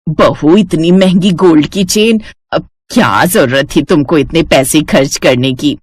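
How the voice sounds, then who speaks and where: A woman's voice: sits low, measured pace, darkish tone, slightly rough, no room sound. A woman voicing an older mother-in-law in a family story, doting and indulgent with a fawning manner.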